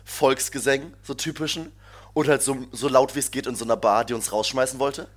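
A man's voice: medium pitch, steady tone, fairly quick. A young man chatting casually as a podcast co-host, rambling and laughing often as he tells stories. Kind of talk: casual chat. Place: shared flat abroad.

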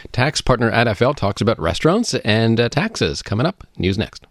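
A man's voice: medium pitch, very animated, normal speed, no echo, clean studio sound. A man co-hosting a business radio show, giving the intros and questions in an upbeat broadcast manner.

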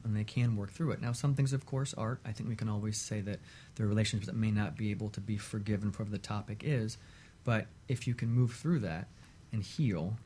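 A man with a fairly low, very mellow voice talks in a measured, reflective way, like a counselor in discussion. His phrasing is conversational and thoughtful. There is some noise in the recording. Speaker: relationship therapist as show guest.

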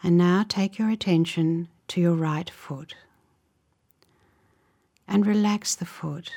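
A woman leading a guided relaxation meditation in a soft, breathy voice, giving gentle, soothing instructions in short, measured phrases. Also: on the low side. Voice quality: soft, breathy, often near a whisper.

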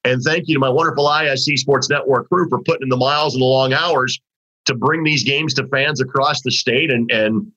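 A man hosting a high school basketball talk segment, his voice a bit raspy from overuse, talking conversationally with frequent restarts. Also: fairly even intonation, a little fast, pushed and bright. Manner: conversational, rambling with restarts and fillers. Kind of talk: casual sports talk. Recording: dull, lo-fi audio.

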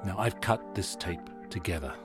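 A man's voice: deep voice, animated, normal speed. A man hosting a radio show, giving scripted narration to introduce an audio documentary. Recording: music behind the voice. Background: music.

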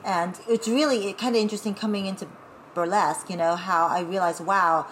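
A woman from Taiwan who grew up speaking Taiwanese, talking as a guest in a casual interview, animated and chatty with laughing asides. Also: mid-range voice, fairly quick, slight background noise, rough recording. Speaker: guest, burlesque performer.

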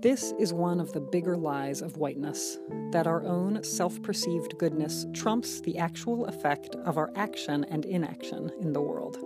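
A woman reading her own essay aloud in a measured, earnest way. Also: low voice. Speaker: guest writer and therapist. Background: prominent plucked guitar music.

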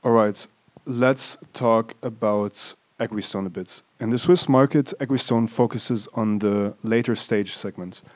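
A male host reading a prepared introduction and asking interview questions in a smooth, scripted way. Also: on the low side, a little slow, dry recording.

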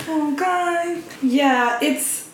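A woman co-hosting a casual podcast, talking in quick, rambling, wry asides. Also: sits high, slight background noise. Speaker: podcast co-host. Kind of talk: casual chat. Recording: roomy echo.

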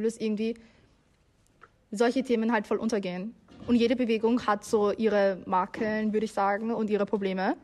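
A young adult woman speaking casually as a radio guest, loose and searching, with lots of filler words. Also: mid-range voice. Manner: loose and searching, many fillers like halt and also. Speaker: interview guest. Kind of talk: casual spoken opinion.

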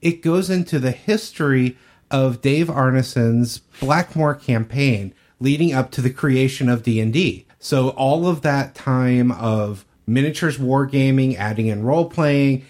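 A man co-hosting a podcast, speaking casually and informatively. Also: medium pitch. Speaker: podcast co-host.

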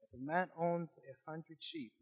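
Husky-voiced man reading scripture aloud. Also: fairly high pitch, measured pace. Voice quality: small, quiet voice. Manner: steady reading with occasional restarts. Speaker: scripture reader in a Bible study. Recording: dull lo-fi recording.

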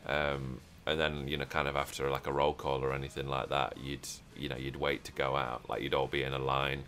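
A man's voice: a bass voice, monotone, fairly quick, a bit bright. A man hosting a roleplay podcast, chatting casually and rambling with many fillers and restarts. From Europe. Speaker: podcast host.

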